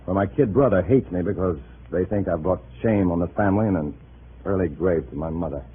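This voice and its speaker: An actor playing a bitter, tense undercover agent posing as a Communist, in hard-boiled 1950s radio style. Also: male voice, deep voice, fairly quick, a little hiss or hum, natural voice. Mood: impassioned. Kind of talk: 1950s radio acting, hard-boiled first-person narration. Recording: muffled vintage radio drama, faint music.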